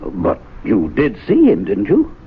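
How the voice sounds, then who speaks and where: An actor playing a timid, anxious gentleman of a men's club who talks hesitantly, full of uhs. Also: lowish voice, wildly swooping pitch, measured pace, slightly rough, exaggerated voice. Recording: tinny 1970s radio broadcast.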